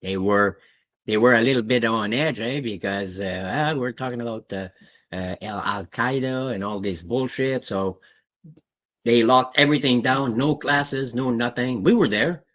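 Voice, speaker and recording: A man's voice: medium pitch, a little slow, a bit bright. A man, telling a story in rambling, casual chat with easy swearing. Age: 60s. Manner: rambling, swears casually, acts out quoted voices. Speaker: guest on comic livestream. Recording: muffled lo-fi call audio.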